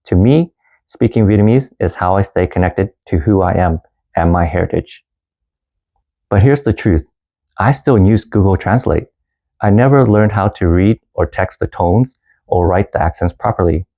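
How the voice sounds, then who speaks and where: A man in his thirties who grew up in Canada, narrating a personal story as a language teacher in a calm, earnest way and mixing two languages. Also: low voice. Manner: calm, earnest storytelling, switching between Vietnamese and English. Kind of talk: scripted lesson narration.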